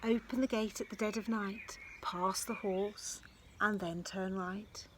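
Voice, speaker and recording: A woman's voice: medium pitch, steady tone, unhurried, a bit bright, slight background noise, close to an everyday voice. A woman voicing two sneaky male thieves who whisper their plan in a storybook. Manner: whispered, secretive.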